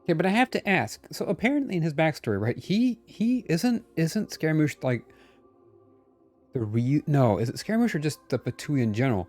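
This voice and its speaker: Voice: mid-range voice, big pitch swings, brisk, darkish tone. A game streamer giving casual live commentary, chatting and reacting aloud to the game.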